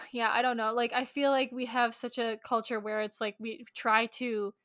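Female podcast co-host with a bright, fairly high voice, casual and halting as she thinks aloud. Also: little pitch movement, dry recording, clean studio sound. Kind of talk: casual book-club chat.

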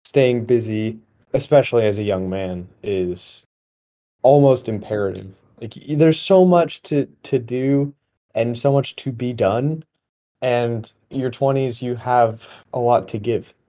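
Young man with a mellow, soft-edged voice. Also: mid-range voice, measured pace. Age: 20, young adult. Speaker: podcast host. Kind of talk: casual conversational podcast chat.

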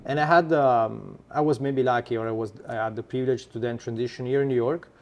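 Gravelly Italian-accented man. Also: mid-range voice, steady tone, a little mellow, highs cut off. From Italy. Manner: halting, many filler sounds and restarts. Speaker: startup founder guest. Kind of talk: podcast interview.

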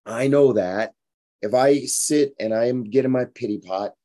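A hoarse, tired man in his forties, hosting a fitness podcast in a frank, rambling motivational monologue. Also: mid-range voice, a little slow, dark tone. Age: 40s. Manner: rambling, frank, repeats himself.

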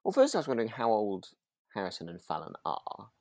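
A man's voice: lowish voice, wildly swooping pitch, a little fast, no room sound, clean studio sound. A man, chatting informally as a podcast co-host and often checking for agreement. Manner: informal, tag questions seeking agreement. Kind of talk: casual chat about a radio drama.

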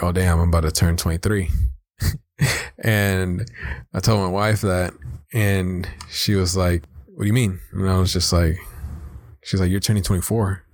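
A man's voice: deep voice, darkish tone, no room sound, clean studio sound. An American man in his twenties talking casually and candidly as a podcast co-host, introducing himself in a reflective way. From South Los Angeles, United States. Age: 20s.

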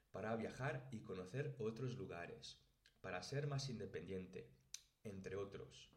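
Guy late 20s w/ spain spanish accent, lowish voice, reading out his podcast script, roomy echo. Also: a little mellow. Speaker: podcast host and language teacher. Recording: roomy, echoey sound.

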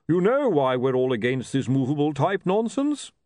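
A blustery chief priest from a fantasy storybook , performed by a man. He is blunt and impatient, with a raised, lively voice that leans hard on his words. The recording is dry and close. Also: fairly high pitch, normal speed, no room sound, pitched above the performer's own voice. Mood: indignant.

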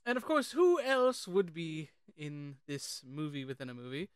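A man co-hosting a casual film discussion, sharing trivia in a chatty, halting way and often repeating his phrases. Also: on the high side, very animated, clear, resonant tone, no echo, clean studio sound. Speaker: podcast co-host.

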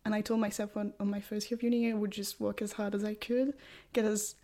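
Young french woman in her twenties, french accent, lots of ums and restarts, middle pitch. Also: flat delivery, fast, mellow. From France. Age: 20s. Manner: hesitant, many fillers and restarts. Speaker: guest, English language student. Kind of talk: casual podcast conversation.

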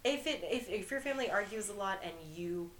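A young woman co-hosting a podcast in casual, excitable, giggly film chat. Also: mid-range voice, a little fast, slight background noise. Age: young adult. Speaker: podcast co-host. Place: bedroom, indoors.

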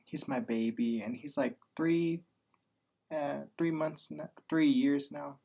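A man's voice: on the high side, steady tone, slow pace, gravelly, mellow. 19 yr old guy cohosting a podcast, playful, teases his cohost. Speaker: podcast co-host, business student. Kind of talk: scripted intro, then casual chat.

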